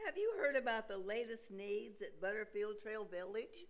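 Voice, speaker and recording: A woman playing an earnest, meddling hospital visitor in a staged comedy reading, speaking in a deliberate, pronouncing way. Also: medium pitch, animated, natural voice. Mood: concerned, insistent. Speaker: amateur actor in a staged reading.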